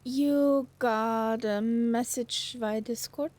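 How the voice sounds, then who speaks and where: High-voiced woman speaking slowly. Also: fairly even intonation, darkish tone, dry recording. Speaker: pilot in a group online flight. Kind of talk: casual voice chat.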